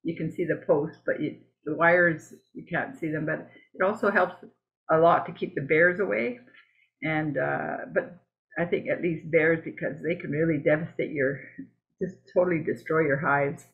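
A woman from Canada giving a slide presentation on beekeeping over a video call, talking in a halting, conversational way with many fillers and restarts. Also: sits low, steady tone, creaky. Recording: narrow tinny video-call audio.

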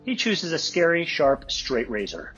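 A man's voice: fairly high pitch, big pitch swings, a little slow, fairly bright tone, husky, lo-fi sound, faint music behind. A man narrating a script, reading out the stage directions at a table read.

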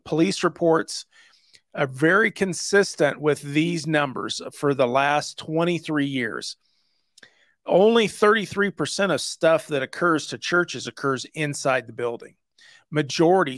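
A man's voice: a little high, a bit bright, dry recording. A man in his fifties giving an earnest, conversational instructional talk as a host, stressing his points into a close microphone. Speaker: church security instructor and host. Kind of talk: explanatory video lesson, conversational. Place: small home study, indoors. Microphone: close microphone on a boom arm.

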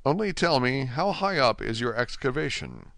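A man's voice: mid-range voice, very animated, normal speed, creaky, a bit bright, no echo, pitched above the performer's own voice. A man voicing an unseen older male prisoner, guarded and resigned, questioning tersely in an audiobook style. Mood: probing. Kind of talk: audiobook character acting.

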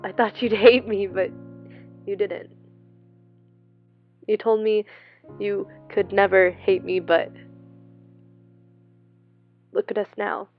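Wistful, hesitant young ex-girlfriend played by an actress, slow and creaky, naturalistic film monologue, dull sound with faint guitar. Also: medium pitch, steady tone. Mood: wistful, tender. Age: young adult. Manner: hesitant, subdued. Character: wistful, heartbroken young ex-girlfriend, tentative and tender. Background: faint guitar music.

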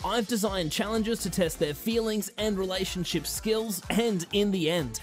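A performer playing a suave, mysterious relationship expert who narrates a reality show with arch, deadpan teasing. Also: mid-range voice, moderate pace, faint music behind, natural voice.

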